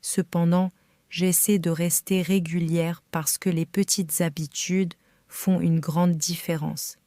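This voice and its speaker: Slow calm woman reading for learners. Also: on the low side, dry recording, clean studio sound. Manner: calm, careful, clearly spaced phrases. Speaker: French reader for learners. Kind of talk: slow scripted reading for beginners.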